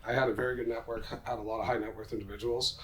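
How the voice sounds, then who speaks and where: Fast-talking middle-aged man, casual and self-assured. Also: mid-range voice, little pitch movement, fairly bright tone, a little hiss or hum, low-quality recording. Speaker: podcast guest, commercial real estate entrepreneur. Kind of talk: casual interview talk.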